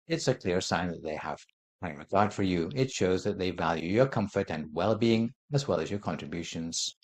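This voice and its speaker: A Buddhist monk giving a calm dharma talk, explaining his points in a measured, gentle way. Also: lowish voice. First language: not Thai.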